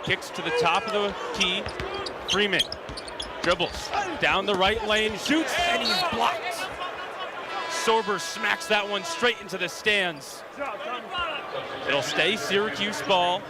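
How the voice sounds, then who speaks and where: A man's voice: high-pitched, animated, takes it slowly, long pauses, over loud music. A young man calling a game live as play-by-play announcer, in clipped, descriptive phrases. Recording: loud crowd and arena noise.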